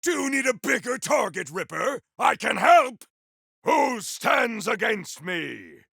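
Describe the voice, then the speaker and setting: A man voicing a grandiose, battle-hungry warrior in a video game, with a rough, gravelly voice and bombastic, theatrical delivery. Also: extremely high pitch, sing-song, slow pace, pushed and bright, no echo, shouting, studio quality, heavily acted. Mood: battle fury. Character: grandiose, battle-hungry male warrior with fire and ice powers. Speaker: playable game character. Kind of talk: video game combat barks.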